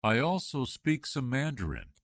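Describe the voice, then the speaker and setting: Expressive man reading interview answers. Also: mid-range voice, normal speed, vocal fry, no room sound, clean studio sound. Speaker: job candidate in a practice interview. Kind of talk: scripted dialogue for English learners.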